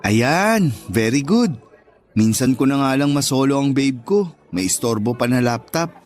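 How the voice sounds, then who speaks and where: Actor as an affectionate young boyfriend, medium pitch. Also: male voice, expressive, measured pace, faint music behind, natural voice. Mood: sweet, teasing. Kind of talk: Tagalog radio drama acting.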